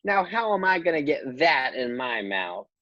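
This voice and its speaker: A woman's voice: very deep voice, very animated, moderate pace, a bit bright, no room sound, highs cut off, voice pushed down, exaggerated voice. A woman voicing a wisecracking, easily startled baby boy in a comedic radio play. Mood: curious, puzzled. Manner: exclamatory, wry, repeats words.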